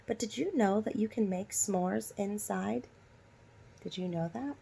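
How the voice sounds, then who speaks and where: A woman's voice: mid-range voice, a little slow, vocal fry, warm tone, husky. A woman teacher doing a storybook read-aloud for young children, instructing and asking them direct questions. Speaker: elementary school teacher.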